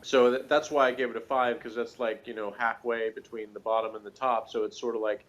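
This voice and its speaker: A man's voice: mid-range voice, flat delivery, a little fast, fairly bright tone. A man chatting casually as a guest reviewer, rambling conversationally with plenty of "you know" and "like". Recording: dull lo-fi recording.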